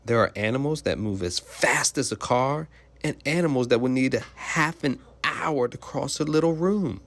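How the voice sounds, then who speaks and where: A man's voice: medium pitch, moderate pace, fairly bright tone. A man reading a children's picture book aloud like a teacher, explaining simply and putting questions to young listeners.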